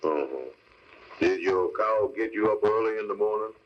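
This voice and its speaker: An actor playing a skeptical, questioning, folksy cab driver in a 1940s radio comedy. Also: male voice, on the low side, lively intonation, normal speed, close to an everyday voice. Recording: muffled old 1940s radio recording.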